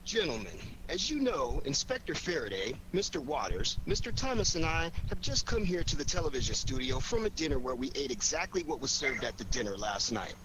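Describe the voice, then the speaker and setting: An actor playing a cool, confident amateur detective in a 1940s radio drama, with a theatrical, commanding delivery. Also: male voice, a little high, big pitch swings, brisk, hardly any pauses. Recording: noisy 1940s radio recording.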